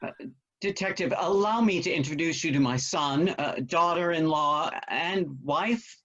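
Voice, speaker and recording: A man's voice: a little high, unhurried, fairly bright tone, lo-fi sound. A man playing a bemused, wry middle-aged father in a comic play read aloud. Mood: polite, hesitant. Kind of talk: table-read stage comedy acting.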